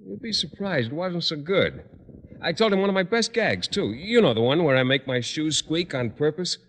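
An actor playing an earnest, shy, naive young schoolteacher in old radio comedy, polite and a little hesitant. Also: male voice, a little high, lively intonation, normal speed, a bit bright. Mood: eager. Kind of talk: 1950s radio comedy acting. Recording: hissy old radio recording, long reverb.

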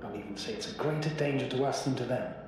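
A man's voice: mid-range voice, fast, lightly acted. A man playing an earnest, insistent astrogator in a video game, speaking emphatically in formal phrases, in a very echoey, noisy space. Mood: certain. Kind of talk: dramatic video game dialogue. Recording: very echoey hall with noisy ambience.

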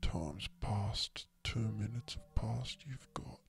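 An Australian man leading a tongue-in-cheek guided meditation in a breathy voice that often drops to a whisper, rambling and repeating himself. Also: fairly low pitch. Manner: slow, drawn-out, rambling and repetitive. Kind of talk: comedic guided meditation, ASMR-like. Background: background audio music.

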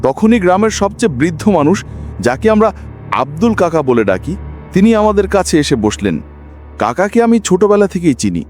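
A man narrates a ghost story as a dramatic scripted reading. His delivery is suspenseful and expressive, with lively movement in pitch that carries the tension. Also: moderate pace, soft background music.

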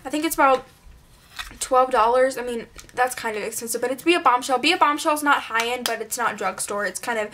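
A woman with a slightly high voice chats quickly and loosely, piling on fillers as she runs through her makeup favorites. Her voice is worn and congested, as if she's losing it. She sits close to a camera in a small bedroom, with faint noise behind her. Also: bright, forceful tone. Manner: chatty, rambling, filler-heavy. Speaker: beauty vlogger. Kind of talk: casual product review. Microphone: camera mic at close range.

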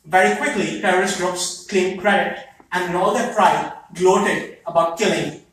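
A young man with an Indian accent speaks in an articulate, impassioned way, his voice sitting a bit high. He is recorded by a phone far back in a large hall. The room adds heavy echo and a light hiss. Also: normal speed, fairly bright tone. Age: young adult. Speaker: student speaker at public comment. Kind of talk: impassioned public statement. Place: large meeting hall, heard from the audience.

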